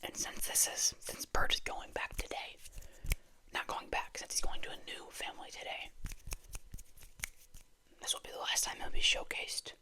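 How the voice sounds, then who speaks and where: An ASMR host whispering to viewers in a casual, rambling way, with gentle, playful musing. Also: normal speed, pauses often, rough recording.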